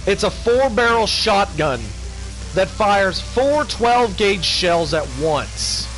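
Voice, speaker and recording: A high-voiced man talks eagerly about a game, building up each story with teasing questions. His tone is casual and keen. Faint music plays under him. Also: animated, slow pace, fairly bright tone, low-quality recording. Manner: eager storyteller, keeps asking "you wanna know". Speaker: gamer chatting on a livestream. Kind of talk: casual chat.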